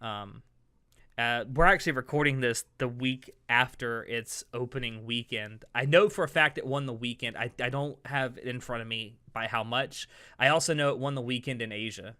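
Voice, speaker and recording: A man with a bright, crisp-edged voice at a middle pitch hosts a podcast. He talks casually and with enthusiasm, offering his opinions freely. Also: normal speed. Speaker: podcast host.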